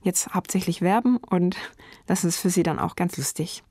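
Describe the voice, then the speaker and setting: A woman in her thirties from Germany, a German teacher answering portrait interview questions in a thoughtful, measured way, enthusiastic about teaching. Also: on the low side, darkish tone, no echo, studio quality.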